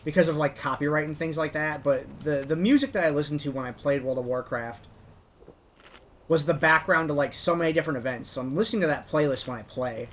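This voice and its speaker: A man's voice: fast talker, fairly bright tone, slight background noise. A man telling long rambling stories with lots of "like" fillers while he plays a game. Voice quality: describes his own voice as higher than a deep bass beat. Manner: rambling, heavy use of "like" as filler. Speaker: gamer narrating his own playthrough. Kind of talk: casual storytelling commentary while playing.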